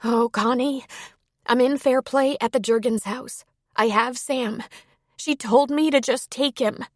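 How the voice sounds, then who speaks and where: A woman's voice: on the high side, fairly even intonation, a little slow, no room sound, pitched above the performer's own voice. Audiobook role: tender-hearted, anxious young woman, voiced by a female narrator, urgent delivery, dry close sound. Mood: anxious, relieved. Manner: worried, earnest, urgent. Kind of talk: audiobook character dialogue.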